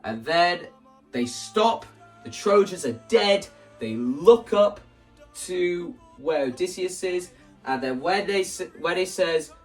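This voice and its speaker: A young man talking casually and explaining his creative ideas in an animated, theatrical way. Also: sits high, takes it slowly, far from the mic, soft background music, poor audio. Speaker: video creator presenting a stage play concept.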